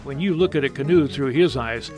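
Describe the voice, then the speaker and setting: A man's voice: mid-range voice, brisk, music in the background. A man hosting a TV feature show, narrating scripted segments in a folksy, reflective storytelling style. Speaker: TV show host.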